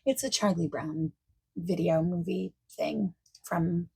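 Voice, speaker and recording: A woman playing a friendly, accommodating party planner in a softspoken ASMR roleplay, chatting casually and reassuringly, at times nearly whispering. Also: on the low side, vocal fry, husky, full character voice. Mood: friendly, chatty. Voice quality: soft-spoken, breaking into near-whispers. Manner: casual, reassuring, chatty, pausing for unheard replies. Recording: faint noise, keyboard typing and clicking.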